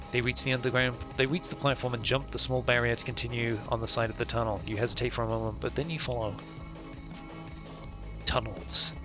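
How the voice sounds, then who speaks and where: A man live-streaming a game, reading its text aloud and commenting in a casual, slangy, sweary way. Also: medium pitch.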